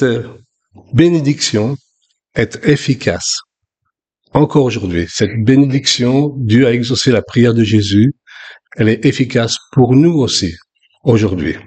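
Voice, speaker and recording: A man from France in his sixties, preaching a sermon in a relaxed, conversational way with frequent restarts. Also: medium pitch, a little slow, husky. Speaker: pastor.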